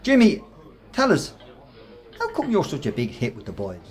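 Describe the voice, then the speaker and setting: An actor playing a bullying, mocking Irish workmate with a Dublin accent and a rough voice in a radio play. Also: male voice, a little high, huge pitch swings, moderate pace. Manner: mocking, insinuating, aggressive. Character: bullying, sneering male workmate, quick to threaten.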